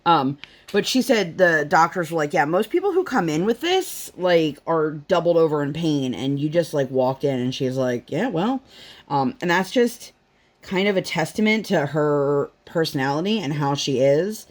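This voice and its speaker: A woman's voice: low-pitched, expressive, normal speed. A woman, a mother and vlogger, telling rambling family stories in a casual chat at home, full of fillers and acted-out quotes. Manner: chatty, rambling, lots of fillers, acts out quotes. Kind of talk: casual storytelling chat while crafting.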